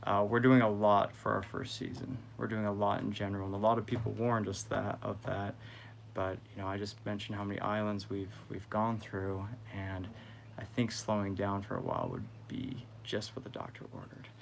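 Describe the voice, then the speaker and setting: Guy hosting a sailing vlog, lowish voice, thinking out loud, bit of background noise. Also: moderate pace, vocal fry. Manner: musing, thinking aloud. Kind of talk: casual vlog update.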